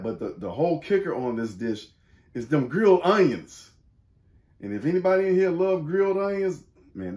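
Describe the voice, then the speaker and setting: A middle-aged man hosting a church cooking segment, chatting in a folksy, joking way with casual slang. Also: medium pitch. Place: living room at home, indoors. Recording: roomy echo.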